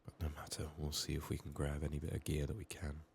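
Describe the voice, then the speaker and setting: A man giving soft-spoken ASMR game commentary, murmuring haltingly and slipping into whispers, his voice strained from being sick. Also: rumbling low, completely flat delivery, creaky, breathy edge. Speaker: ASMR gaming video host.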